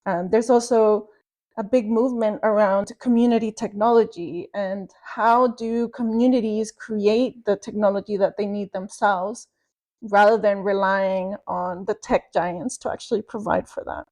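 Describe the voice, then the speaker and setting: A woman's voice: medium pitch, steady tone, a little slow, darkish tone. A woman from Venezuela, a researcher guest on a podcast, giving a long reflective answer with many fillers and restarts.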